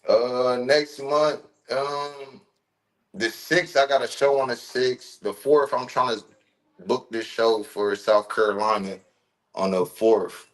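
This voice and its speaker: A man's voice: mid-range voice, fairly even intonation, slow pace, rough recording. A man in his thirties from Ohio, a rapper chatting casually as a podcast guest in a laid-back, slangy, rambling way with many fillers. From Ohio, USA. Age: 30s.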